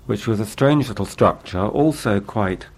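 A man interviewing an artist, asking long questions in a measured, hesitant way. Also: fairly low pitch, darkish tone, slightly rough.

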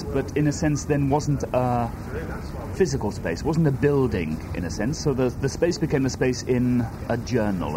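A man from Wales, a native Welsh speaker, talks through his ideas in a hesitant, circling way, restarting phrases as he goes. His voice sits at an ordinary middle pitch for a man. A clear hum of outdoor noise runs behind him. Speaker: artist interviewee. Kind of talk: reflective interview answers.

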